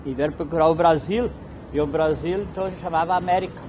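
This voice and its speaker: A man who speaks haltingly, with many restarts. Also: a little high, darkish tone, slight background noise. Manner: halting, restarts, plain storytelling. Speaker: interviewee.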